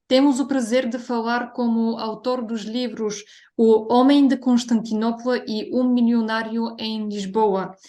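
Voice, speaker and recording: A woman's voice: on the high side, darkish tone. A young woman from Bulgaria, a non-native speaker, introducing a guest and asking questions in a careful, formal way. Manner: careful, formal, read-out phrasing. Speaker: interviewer. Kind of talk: prepared interview introduction.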